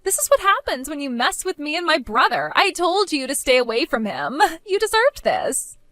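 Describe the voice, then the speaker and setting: Woman playing a bratty spiteful young woman, shrill and whiny, high and swooping, dry close mic. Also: sing-song, moderate pace, fairly bright tone, no room sound. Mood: mocking.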